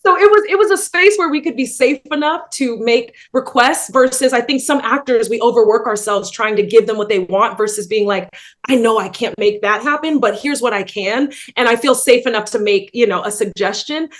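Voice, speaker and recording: A woman's voice: a little high, fairly quick. A woman, an actress, chatting animatedly about her work in a casual interview over a video call. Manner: animated, laughing storyteller.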